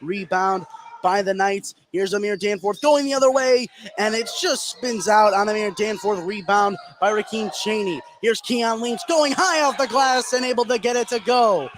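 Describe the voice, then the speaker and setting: A man doing live play-by-play basketball commentary for radio, getting excitable on big plays. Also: high-pitched, normal speed. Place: indoor gymnasium during a game. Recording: noisy live gym broadcast.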